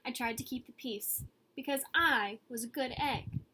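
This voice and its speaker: A woman voicing an earnest, eager good egg telling its own story from a picture book, with expressive pauses and emphasis. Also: on the high side, breathy edge. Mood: exasperated, weary. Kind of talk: picture-book read-aloud.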